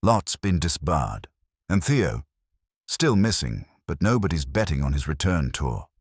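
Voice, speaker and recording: A man's voice: extremely low pitch, sing-song, a little slow, slightly rough, no room sound, clean studio sound, exaggerated voice. A man voicing a breezy, wisecracking detective's assistant with slangy, wry talk, in an audiobook. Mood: wry, reassuring.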